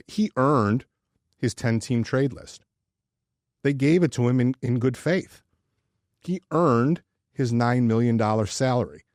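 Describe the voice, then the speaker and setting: A man co-hosting a podcast, giving his opinions in casual sports talk with an earnest, emphatic delivery that leans on pauses and repetition. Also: mid-range voice, a little mellow, no echo, clean studio sound. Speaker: podcast co-host.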